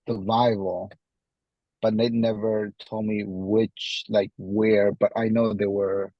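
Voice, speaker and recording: A man from Guatemala with a Guatemalan Spanish accent, chatting casually as an interview guest and often repeating his phrases haltingly. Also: lowish voice, no echo, highs cut off. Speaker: podcast guest, tradesman.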